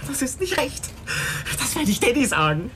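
An actor playing a simple-minded, whiny, frightened young man in his twenties, drugged and halting, in a radio drama. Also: very high voice, big pitch swings, pushed and bright, slight background noise, heavily acted. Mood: dazed, childlike. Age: 20s. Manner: childlike, halting, agitated.

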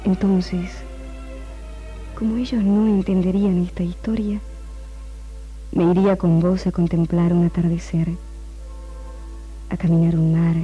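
An actress playing a tender, regretful lover voicing an intimate confession letter in a 1960s radio drama. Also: female voice, on the low side, level tone, husky. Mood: longing, hushed. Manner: slow, intimate, breaking into near-whisper. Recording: muffled 1960s radio recording, music underneath. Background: faint music.

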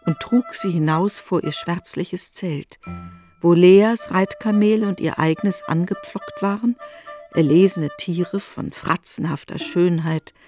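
A woman narrating a literary radio drama with articulate, expressive delivery and deliberate emphasis. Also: fairly low pitch, faint music behind.

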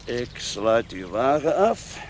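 A man's voice: medium pitch, big pitch swings, takes it slowly. An actor playing a brusque, commanding policeman in a radio drama, giving curt, clipped orders. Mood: stern insistence. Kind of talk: Dutch-language radio drama acting. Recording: loud outdoor car and traffic noise. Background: car and vehicle sounds.

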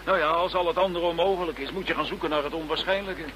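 Casting: male actor playing amiable, reasoning investigator for radio play, lightish pitch, noticeable noise on recording. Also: quick pace, lightly acted. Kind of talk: scripted radio play acting.

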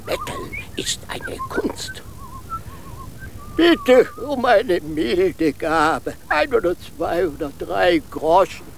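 An actor playing a fussy, formal royal court marshal with a theatrical manner in a children's radio play. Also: male voice, sits high, huge pitch swings, extremely slow, vocal fry, a little hiss or hum, full character voice. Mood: formal, pleading.

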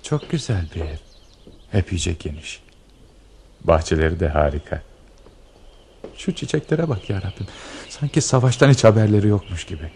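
An actor playing a nervous, hopeful, lovestruck army lieutenant in a theatrical radio drama style. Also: male voice, low-pitched, lively intonation, darkish tone, slight background noise. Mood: excited, yearning. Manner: theatrical, murmuring to himself, brisk when giving orders.

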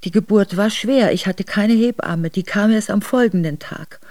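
An actress playing an aristocratic middle-aged Russian woman quietly recounting her memoirs to her son in a radio drama. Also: mid-range voice, normal speed, dry recording, natural voice. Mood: troubled recollection. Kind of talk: intimate radio drama narration.

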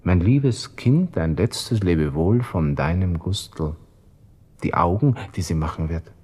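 An actor playing a self-pitying, vain young army lieutenant with a Viennese accent, muttering an interior monologue to himself in a radio play, by turns flippant and sentimental. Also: male voice, low voice, lively intonation, takes it slowly, dark tone, breathy edge, full character voice. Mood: mock-formal, rueful. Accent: Viennese Austrian. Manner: brooding, talking to himself, shifting between flippant and sentimental.

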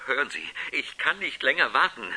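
An actor playing an unhinged, desperate man in a radio crime drama, frantic and urgent, with a raspy, tense voice. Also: on the high side, animated, brisk, pushed and bright, slight background noise, low-quality recording. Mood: desperate, hateful. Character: unhinged, desperate man obsessed with his mother.

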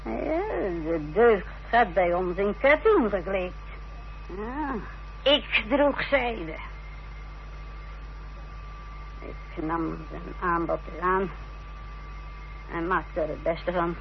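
An actress playing a proud, defiant middle-aged mother in a radio drama, speaking in a haughty, theatrical, deliberate way. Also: on the low side, lively intonation, gravelly, exaggerated voice. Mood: proud, self-satisfied. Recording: dull, noisy old radio recording.

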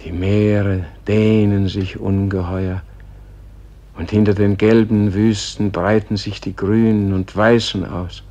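Gentle old man in a radio play, deep-ish voice, really slow, solemn, lyrical, trails off hushed. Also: little pitch movement, dull audio. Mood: awed. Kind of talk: German radio play acting, solemn and poetic.

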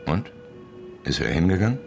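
An actor playing a terse, probing investigative reporter in a radio drama, speaking in short pointed questions. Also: male voice, deep voice, sing-song, moderate pace, vocal fry, darkish tone, faint music behind, exaggerated voice. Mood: probing, sober. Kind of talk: German radio drama dialogue.

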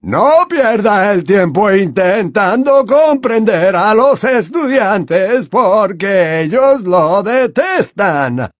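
Casting: malicious old portrait wizard, high, drawling, contemptuous. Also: male voice, very animated, extremely slow, few pauses, no room sound, clean studio sound, heavily acted. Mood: mocking. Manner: drawling and spiteful.

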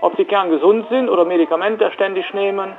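A man talks quickly and plainly on a telephone, in a fairly high voice. The line is dull and a little noisy, and his manner is matter-of-fact. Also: fairly even intonation. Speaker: medical study recruiter on the phone. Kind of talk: unscripted phone conversation.

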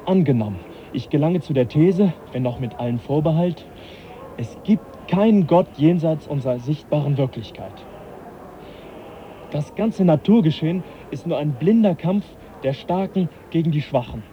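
An actor playing the young naturalist Charles Darwin, earnest and measured, in a radio play. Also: male voice, fairly high pitch, expressive, natural voice. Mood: persuasive. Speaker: scientist lecturing. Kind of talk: 1980s German radio play acting. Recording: dull lo-fi radio recording, faint hiss.

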